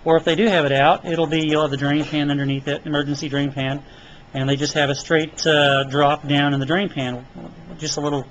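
A man's voice: fairly high pitch, little pitch movement, fairly bright tone, muffled recording, a little hiss or hum. Casual man sketching out an explanation. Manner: casual, halting, many fillers. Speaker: HVAC technician. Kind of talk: explaining while sketching diagrams. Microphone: camera filming paper close up.

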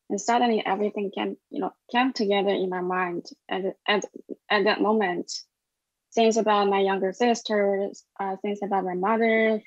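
A woman in her thirties from China, a documentary filmmaker answering interview questions in a halting way with many fillers and restarts, recorded over a webcam with earbuds in a small room. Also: medium pitch, dry recording. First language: Chinese. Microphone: wireless earbuds at a webcam. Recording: dull, dry webcam audio.

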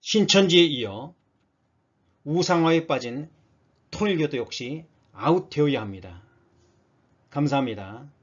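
A man's voice: a little high, lively intonation, unhurried, pauses often, slightly rough, muffled recording. A man narrating scripted news for an online channel in a formal, read-out announcer manner.

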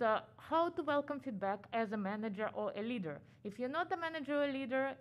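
A woman from Ukraine with a Ukrainian accent, giving a conference talk quickly with many "uh" fillers, her voice muffled by a face mask. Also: on the high side. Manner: fast-talking, frequent "uh" fillers. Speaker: conference speaker. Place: conference room.

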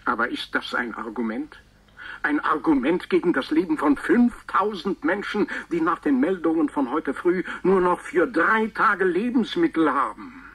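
Male priest: fervent, beseeching, slightly high husky voice, solemn radio-play monologue. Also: lively intonation, moderate pace, fairly bright tone. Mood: solemn pleading. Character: fervent priest pleading on a recorded voice letter. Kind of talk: German radio play acting.

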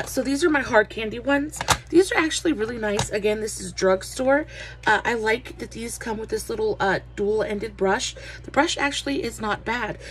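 A woman's voice: medium pitch, a bit bright. Woman, young adult, casual beauty vlogger; stuffy nasal voice, chatty with fillers, faint background noise. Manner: chatty, many "uh" fillers, friendly greetings. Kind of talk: casual makeup review.

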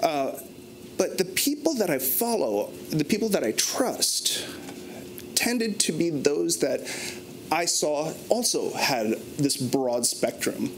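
A man's voice: fairly high pitch, expressive, takes it slowly, slight background noise, low-quality recording. A man in his thirties speaking as a panelist at a conference. He talks thoughtfully with many restarts and fillers, recorded on a lapel mic in a conference room. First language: English. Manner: thoughtful, gestures a lot, frequent restarts and fillers. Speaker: panelist speaking on a research paper. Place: conference room in front of a banner.

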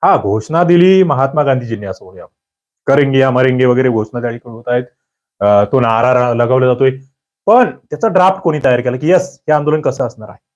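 A man giving a quiz-style exam-prep lecture, firing questions and demanding quick answers from his students. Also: a little mellow. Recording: muffled low-fidelity recording.

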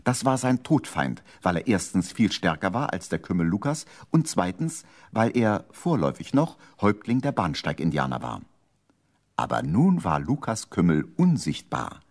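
Deep, very animated male storyteller. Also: moderate pace. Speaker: narrator. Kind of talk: scripted storytelling for a 1970s children's radio play.

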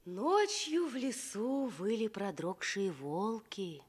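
A woman narrating a scripted fairy tale for Soviet radio, expressive and deliberate. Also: mid-range voice, husky. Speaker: storyteller.

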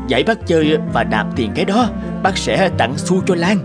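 A man's voice: fairly high pitch, big pitch swings, moderate pace, loud music behind the voice. A man voicing a humble, devoted middle-aged father in a cartoon, hesitant and earnest. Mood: hesitant, eager. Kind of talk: animated cartoon dialogue.

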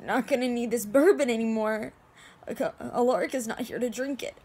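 A woman acting an anguished, lovestruck young heroine in amateur supernatural-drama monologues, with emotional, halting delivery. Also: on the high side, darkish tone, husky. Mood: distraught, insistent.

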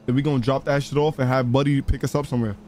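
A man talks quickly in casual, slangy in-game chatter. He tends to repeat phrases as he plays. There is faint background noise behind his voice. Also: mid-range voice, flat delivery, a little mellow. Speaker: streamer playing a crime role-play game.

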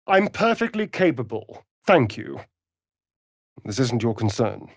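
An actor with a British accent playing a sardonic, vain elven vampire rogue in a fantasy video game, with an arch, drawling, mocking delivery. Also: male voice, mid-range voice, very animated, measured pace, slightly rough, no echo, studio quality, exaggerated voice. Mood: serious, wounded.

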